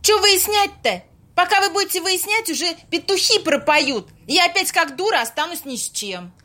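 A woman acting an impatient, sharp-tongued young woman in an amateur audio play, snappy and sarcastic. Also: extremely high pitch, lively intonation, normal speed, heavily acted.

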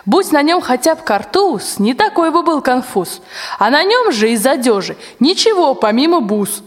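A female performer playing a sharp-tongued, suspicious old nanny in a rhymed radio play, scolding in peasant speech. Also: sits high, big pitch swings, moderate pace. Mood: scornful. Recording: long hall-like reverb.

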